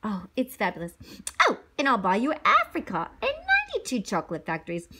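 Storybook mum played by a woman: doting, theatrical, cajoling, bright and highly animated. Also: a little fast, husky, heavily acted. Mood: gushing. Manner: cajoling, gushing, dramatic. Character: doting, theatrical mother coaxing with extravagant bribes.

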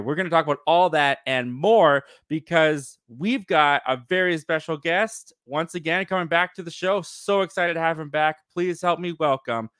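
A man in his twenties hosting a casual live movie chat show, talking quickly and cheerfully with lots of fillers, close to a boom mic in a home studio. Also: fairly bright tone, smooth, no echo. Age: 20s. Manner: chatty, quick and upbeat, with frequent fillers. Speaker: livestream host. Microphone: boom mic in front of face.

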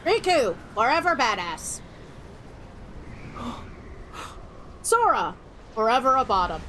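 A woman's voice: on the high side, very animated, slow, bright, forceful tone, slight background noise. A young woman streaming a video game, giving sarcastic, ranting commentary and swearing freely over the cutscenes. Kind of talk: casual let's-play commentary. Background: game music and cutscene audio.

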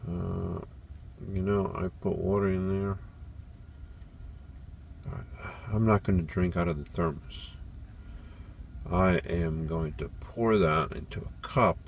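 A man in his forties speaks slowly in a deep, low voice. He chats casually and thinks aloud, with plenty of fillers and restarts. He records inside a small van on a camera set nearby, with a faint hum behind him. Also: steady tone, vocal fry. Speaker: van life vlogger. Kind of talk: casual how-to vlog.

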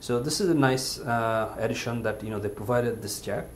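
A man with a medium-pitched voice presents a product in a casual, unscripted review style. His delivery is halting and hesitant. The recording was made in a home living room and carries faint but noticeable background noise. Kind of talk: casual unboxing and review commentary.

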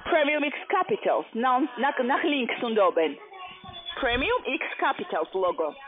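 High-voiced foreign saleswoman on a phone line. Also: big pitch swings, measured pace. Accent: foreign, non-native German. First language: not German. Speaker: call centre sales agent. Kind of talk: telephone sales pitch. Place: phone call. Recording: tinny band-limited phone line.

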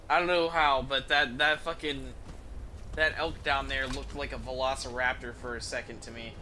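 A man's voice: mid-range voice, level tone, normal speed, sharp tone, slight background noise. A man giving casual, profane and easily amused commentary while streaming a game from a room at home.

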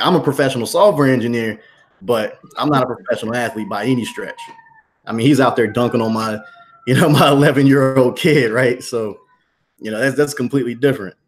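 A man in his thirties hosting a casual, slangy livestream chat from a room at home over a webcam. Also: mid-range voice. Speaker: show host, entrepreneur. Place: dim room at home, indoors. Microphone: webcam video call.

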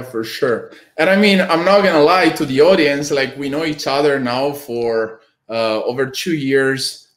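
A young man with an Italian accent hosts an interview from a home room lined with bookshelves. His questions come slowly and carefully, with plenty of fillers. The call audio has a noticeably roomy echo. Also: mid-range voice. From Italy. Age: young adult. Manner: measured, slow questions with many fillers. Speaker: host, performance nutritionist. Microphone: video call. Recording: echoey room on a video call.

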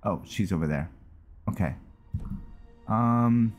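A man's voice: on the low side, expressive, slow pace, darkish tone, faint music behind. A man hosting a gaming video, giving casual roleplay commentary and thinking aloud.

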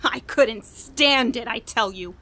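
A woman playing an unhinged, guilt-ridden killer confessing in a halting, dramatic way in a radio horror drama. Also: a little high, pushed and bright, exaggerated voice. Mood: anguished, brooding. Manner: dramatic, halting, broken by manic laughter. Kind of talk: old-time radio horror drama monologue.

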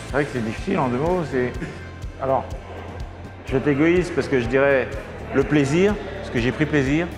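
A middle-aged man speaking calmly and thoughtfully as an interview guest in an indoor hall. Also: mid-range voice, normal speed, long pauses. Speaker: guest, contest sponsor. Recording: music bed under the voice.

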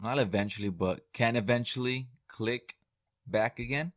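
A man's voice: medium pitch, moderate pace, dry recording. A young adult man hosting a podcast, asking questions casually into a studio mic in a small room at home. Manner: relaxed, quiet affirmations. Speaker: podcast host. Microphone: studio mic on boom with headphones.

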